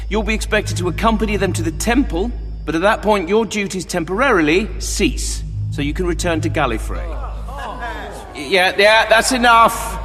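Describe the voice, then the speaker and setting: High-pitched military guard captain. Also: male voice, a bit bright, in a large hall. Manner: clipped, military, officious. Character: brisk, stern male alien guard captain. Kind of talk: audio drama acting.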